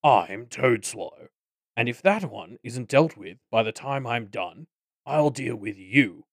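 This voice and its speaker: A man voicing a pompous, menacing goblin boss in an audiobook, with wordy, deliberate speech. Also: medium pitch, animated, no echo, clean studio sound. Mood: threatening, smug. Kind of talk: audiobook character acting.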